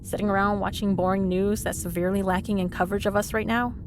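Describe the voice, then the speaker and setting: A cheeky female supervillain played by a voice actress in a comedy audio drama. Her voice sits at a middle pitch, and she teases with breezy, smug sarcasm, entirely at ease as a troublemaker. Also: little pitch movement, quick pace, music in the background, lightly acted. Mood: sassy banter. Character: cheeky, smug woman supervillain, frequent hospital patient. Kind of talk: comedic audio roleplay acting.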